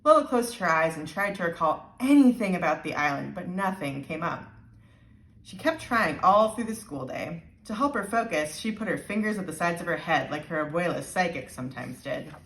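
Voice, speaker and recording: A woman reading a children's storybook aloud with deliberate, expressive emphasis. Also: on the low side, creaky. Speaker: storybook reader. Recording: roomy, echoey sound.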